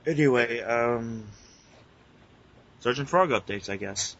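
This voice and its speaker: A man's voice: mid-range voice, slow pace, fairly bright tone. A man co-hosting a podcast, chatting casually with friends in a grumbling, profane way. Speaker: podcast co-host. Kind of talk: casual group chat. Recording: muffled lo-fi audio, background noise.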